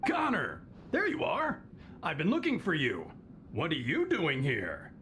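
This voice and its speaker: A man voicing an excitable, fussy winery manager in an anime game, formal, effusive and a bit pompous. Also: fairly high pitch, measured pace, slight background noise, heavily acted. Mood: delighted, excited.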